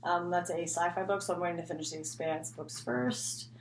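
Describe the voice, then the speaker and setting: A woman's voice: lowish voice, little pitch movement, moderate pace. A woman talking casually and unscripted as a book vlogger, rambling and often interrupting herself. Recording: echoey room, faint background noise.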